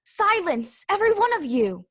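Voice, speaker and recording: A woman's voice: very high-pitched, big pitch swings, normal speed, fairly bright tone, exaggerated voice. A woman voicing a bossy, haughty talking tiger lily in a children's read-aloud play, with an imperious, scolding manner. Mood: scolding, commanding.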